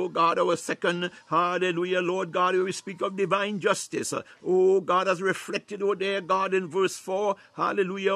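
A man's voice: on the high side, pushed and bright, no echo. A man leading fervent, unscripted prayer and preaching, declaiming scripture with repeated devotional interjections. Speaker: prayer leader.

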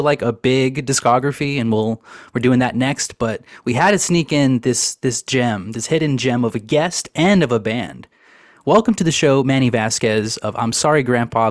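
A man's voice: mid-range voice, no echo, clean studio sound. A man hosting a podcast in a laid-back, joking chat, often laughing and saying "dude". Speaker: podcast host. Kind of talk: casual chat.

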